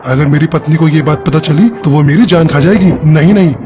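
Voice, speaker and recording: A man voicing a male bird in a Hindi animated moral story, with an earnest, emphatic manner. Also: on the high side, brisk, natural voice. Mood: refusing. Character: male cartoon birds, a poor husband and his brother. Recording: music behind the voice.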